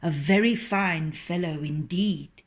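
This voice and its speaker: A woman's voice: fairly low pitch, slow. A woman voicing a sly, boastful male fox in a storybook tale.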